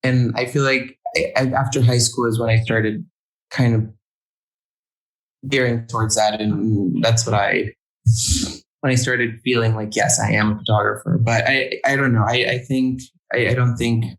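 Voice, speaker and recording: A young man talking as a podcast guest, hesitant and halting, restarting his sentences often. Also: medium pitch, flat delivery, poor audio. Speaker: guest photographer. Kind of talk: casual interview chat.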